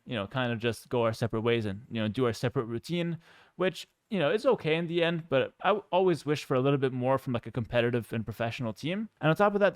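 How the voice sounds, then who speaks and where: A man talks candidly, as a creator addressing his viewers. His delivery is very quick and his voice is clean and smooth, at a medium pitch. The sound is dry and close, and he explains himself in a reflective, conversational way. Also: no echo. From Canada. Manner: measured, candid, explanatory, with frequent 'you know' asides. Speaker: professional gamer and content creator. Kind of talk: personal talk to viewers, reflective monologue.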